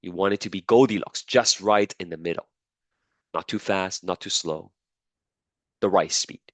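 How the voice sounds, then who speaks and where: A man's voice: low voice, moderate pace, no echo. A man teaching a biology class while sick and losing his voice, repeating key points and checking in with students. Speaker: biology lecturer. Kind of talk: classroom lecture.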